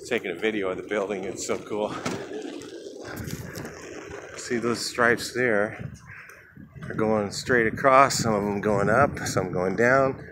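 A man with a fairly low voice gives a rambling, off-the-cuff commentary while walking outside a building, filmed on a handheld camera. Passing traffic and street noise sit clearly behind him. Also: slow pace, long pauses. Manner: rambling, thinking aloud, trailing off. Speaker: house painter filming a walkthrough. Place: outdoors beside a large building.

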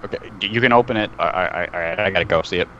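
A young man, a podcast guest chatting casually and haltingly, often repeating his words. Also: sits low, a bit bright. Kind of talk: casual chat. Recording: dull, slightly noisy call audio.

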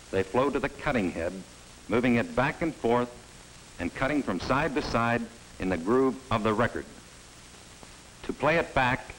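A middle-aged man presenting a vintage promotional film in a studio, giving a measured, emphatic sales pitch. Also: a bit bright, slight background noise, low-quality recording. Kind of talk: scripted promotional narration. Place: studio set. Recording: old film soundtrack with faint music.

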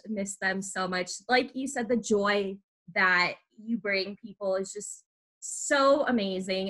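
A woman's voice: mid-range voice, a bit bright. A woman in her twenties hosting a casual interview over a video call, speaking in a gushing, enthusiastic way. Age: 20s. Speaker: podcast host.

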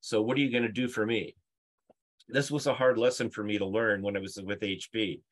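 A man's voice: on the low side, steady tone, fairly quick. A middle-aged man presenting on a video call, explaining emphatically through stories and rhetorical questions. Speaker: conference speaker, UX leader. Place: book-lined room at home, indoors.

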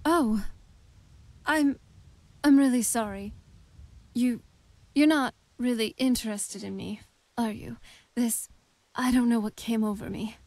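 An actress voices a young vampire woman in a fantasy game, wistful and shy with a dry wit. Her delivery is unhurried and halting. The tone is soft and dark, recorded very dry and close. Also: a little high, dry recording. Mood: hurt, embarrassed. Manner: halting, soft-spoken, hesitant. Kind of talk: intimate video game dialogue acting.

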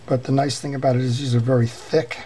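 A man's voice: mid-range voice, level tone, quick pace, mellow, a little hiss or hum. A man giving a hands-on tutorial at a workshop bench as he repairs a fishing reel, talking unhurriedly with many fillers and restarts. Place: workbench in a workshop.

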